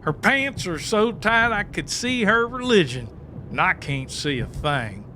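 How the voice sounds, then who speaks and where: A high, bright male voice, slow-paced, for a cocky country sidekick in an audiobook drama. He is folksy, crude and blustering. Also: sing-song, slight background noise, heavily acted. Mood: boastful.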